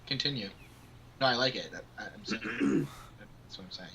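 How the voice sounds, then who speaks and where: A man's voice: mid-range voice, moderate pace, creaky, bright, forceful tone, slight background noise, poor audio. A young man bantering casually and boisterously as co-host of a let's play.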